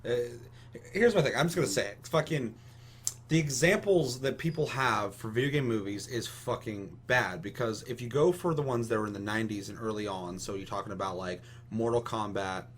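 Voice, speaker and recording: A man's voice: fairly low pitch, brisk. Young American man, emphatic and foul-mouthed. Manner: emphatic, heavy casual swearing. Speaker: podcast host. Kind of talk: casual opinionated discussion.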